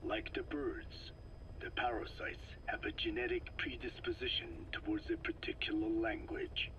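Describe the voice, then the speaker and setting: An actor playing a frail, soft-spoken scientist in a video game, explaining slowly and formally in a breathy rasp. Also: male voice, medium pitch, dull audio, a little hiss or hum, natural voice. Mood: patient, scholarly. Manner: slow, formal, measured, scholarly phrasing. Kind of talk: video game voice acting, recorded interrogation.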